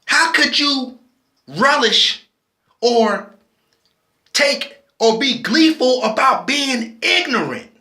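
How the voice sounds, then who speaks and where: A man's voice: very high-pitched, big pitch swings, slow pace, long pauses, bright, forceful tone, echoey room. A man, impassioned and preachy, often restarting his sentences as he speaks his mind in a personal video. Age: 40s. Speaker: vlogger.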